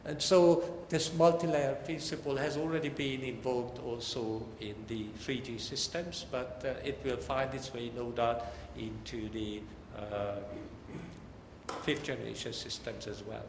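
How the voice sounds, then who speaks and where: An older man giving a technical academic lecture in a halting, deliberate way. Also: mid-range voice, steady tone. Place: large lecture hall. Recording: echoey hall with long reverb, background noise.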